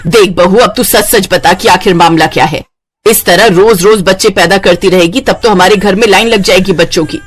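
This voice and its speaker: A woman's voice: mid-range voice, normal speed, breathy edge, lightly acted. A woman voicing a bossy, suspicious older mother-in-law who scolds, in a cartoon moral story. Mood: scolding.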